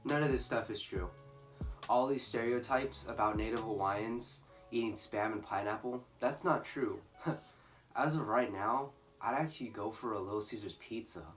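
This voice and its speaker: A sixteen-year-old boy from California speaking earnestly to camera as a student, in a measured, candid way. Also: medium pitch. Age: teens. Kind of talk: speaking to camera for a school video. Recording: distant mic, faint music behind.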